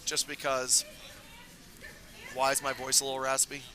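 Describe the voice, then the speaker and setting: A man commentating a curling tournament stream in a casual, rambling way, his voice raspy and hoarse from talking all weekend. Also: medium pitch, normal speed, background noise. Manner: relaxed, rambling, many fillers. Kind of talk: casual live sports commentary. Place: curling club.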